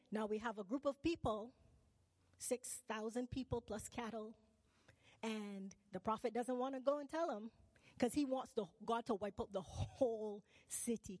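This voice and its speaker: A woman's voice: fairly high pitch, darkish tone, no room sound, long reverb tail. A woman from Barbados preaching a sermon. She keeps asking the congregation questions and calls for "amen" and "right?".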